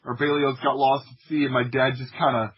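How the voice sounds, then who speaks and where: Husky-voiced man telling stories casually. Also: medium pitch, flat delivery, fairly quick, muffled recording. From United States (Florida). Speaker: podcast guest, audio drama creator. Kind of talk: casual personal storytelling.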